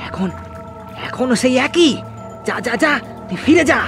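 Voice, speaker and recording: A man's voice: very high voice, lively intonation, slow, over music, voice pushed up, heavily acted. A man voicing a frightened but defiant village fish farmer in a horror cartoon, stammering and repeating his words. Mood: pleading, defiant.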